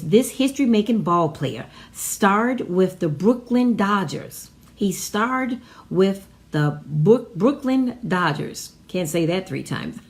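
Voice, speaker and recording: A woman's voice: mid-range voice, a little mellow. An American woman hosting an informal educational vlog, partly reading aloud and partly ad-libbing, with an emphatic delivery that repeats phrases. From Chicago, United States. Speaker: vlog host.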